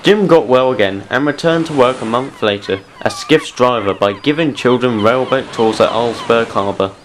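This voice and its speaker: A man's voice: mid-range voice, moderate pace, a little hiss or hum. A man reading scripted narration for a children's style audio drama story.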